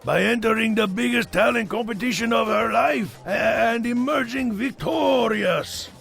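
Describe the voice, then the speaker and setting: A man playing a doting, theatrical father in an animated film, speaking in a grand, expressive and gently reassuring way. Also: very high voice, a little slow, creaky, soft background music, exaggerated voice. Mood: dramatic. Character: doting, theatrical father guiding his child.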